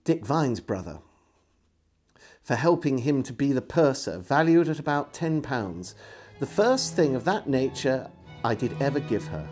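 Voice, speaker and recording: A middle-aged man reading a historical diary aloud as a storyteller, in an expressive, measured way. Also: medium pitch. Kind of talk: dramatic literary reading. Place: indoors, small room lined with bookshelves.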